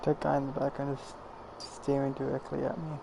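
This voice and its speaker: A man giving casual, deadpan commentary as he streams a game, with music behind him. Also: mid-range voice, a little fast, creaky, background noise.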